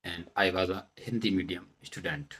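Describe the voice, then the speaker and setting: A man from central India, a native Bundelkhandi speaker, presenting in Indian-accented English with deliberate, halting phrasing and stressed words, as in a self-introduction video. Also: low-pitched, pushed and bright, husky. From Madhya Pradesh, India. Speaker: vlogger, English learner turned presenter. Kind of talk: self-introduction talk to camera.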